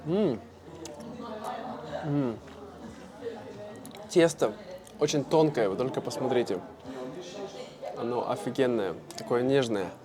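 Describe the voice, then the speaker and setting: A man from Russia vlogging casually to camera, speaking simply and clearly for language learners with easy fillers. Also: medium pitch, takes it slowly, pauses often, crowd noise. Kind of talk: casual vlog talk to camera.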